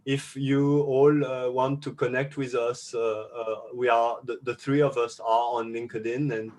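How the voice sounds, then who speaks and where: Halting man moderating a webinar. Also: medium pitch, flat delivery, a little mellow. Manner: halting, many restarts and fillers; says a French thank-you. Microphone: video call.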